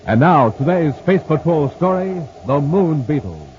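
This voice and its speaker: A man's voice: on the high side, animated, unhurried. A man announcing and reading sponsor ads for a 1950s radio serial in a showman-like, exclamatory way. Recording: muffled old radio transcription with faint hiss.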